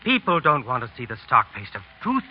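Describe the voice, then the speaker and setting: An actor playing a young, starving French painter, complaining bitterly and theatrically in 1930s radio style. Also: male voice, medium pitch, huge pitch swings, a little fast, slightly rough, faint music behind. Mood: bitter scorn. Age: young adult. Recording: lo-fi noisy 1930s radio broadcast.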